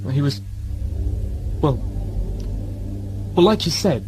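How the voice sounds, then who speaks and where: Man playing uneasy, animated travelling companion. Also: on the high side, slow, faint music behind. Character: uneasy, earnest male travelling companion, defensive. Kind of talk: audio drama acting.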